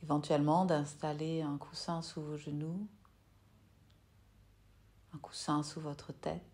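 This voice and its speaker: Slow, soft-spoken woman guiding meditation. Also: low voice, flat delivery. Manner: soft, soothing, unhurried with long pauses. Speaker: yoga nidra teacher. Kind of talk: guided meditation.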